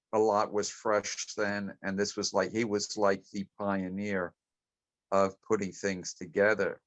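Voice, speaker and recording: A low-voiced man offers reflective remarks in an online meeting. His voice is dry and close, with no room around it, in a conversational group-call style. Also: dry recording. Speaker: participant commenting at an online club meeting. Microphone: video call.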